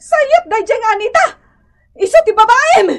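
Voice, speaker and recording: A woman's voice: very high voice, animated, normal speed, a bit bright. A woman with an Ilocano accent playing a jealous, quarrelsome wife who scolds melodramatically in a radio comedy drama. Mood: furious.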